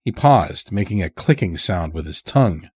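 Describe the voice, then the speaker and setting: A man narrating a scripted dark tale as a dramatic storyteller. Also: sits low, animated, moderate pace, slightly rough, dry recording, studio quality.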